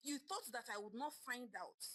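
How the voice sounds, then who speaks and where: A betrayed, furious Nigerian husband, as a woman puts him on, pitched up well above her own voice and pushed louder. He hurls accusations in a storybook drama, his voice cracking with tears. The delivery swoops up and down. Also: sing-song, normal speed, no echo. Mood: furious, heartbroken. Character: angry wealthy husband, betrayed and bitter.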